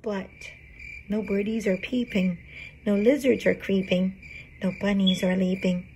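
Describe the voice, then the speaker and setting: A woman reading a children's storybook aloud as a teacher would, expressive and explanatory. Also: mid-range voice, measured pace, slight background noise.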